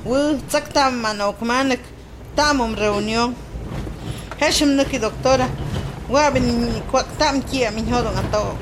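A woman's voice: on the high side, takes it slowly. A woman, a native Popoluca speaker, playing a village woman chatting with neighbours in a radio drama. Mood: conversational. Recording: noisy outdoor vehicle ambience.

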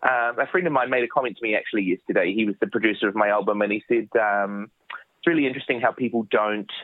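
A man's voice: lowish voice, quick pace, no echo. A man, a children's musician, chatting casually in an interview with many restarts, from his home office. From New Zealand. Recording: dry phone-like line.

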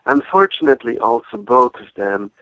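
A man in his forties with a Polish accent, a native Polish speaker, telling his story reflectively and with pauses as an interview guest. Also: mid-range voice, sing-song, fairly bright tone, no echo, muffled recording. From Poland. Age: 40s. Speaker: podcast guest, activist and author.

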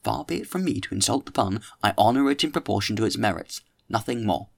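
A man's voice: lowish voice, expressive, fast, creaky, dry recording. A man voicing a pompous, tipsy young orator who preaches grandly at a dinner in an audiobook. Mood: lofty.

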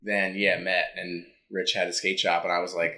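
Laid-back man in his thirties, bright voice. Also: low voice, little pitch movement, normal speed. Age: 30s. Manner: laid-back, filler-heavy. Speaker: guest. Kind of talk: casual chat.

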